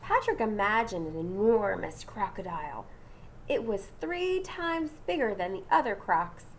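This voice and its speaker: A woman's voice: medium pitch, lively intonation, a little slow, a little hiss or hum. A woman reading a children's storybook aloud dramatically, with asides and questions to her young listeners. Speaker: storybook reader.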